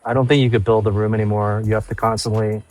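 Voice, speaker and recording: A man's voice: fairly low pitch, level tone, fast talker, darkish tone, no room sound, faint music behind. A man from New York, a nightlife industry guest on a podcast, talking casually and often repeating and restarting his phrases as he thinks.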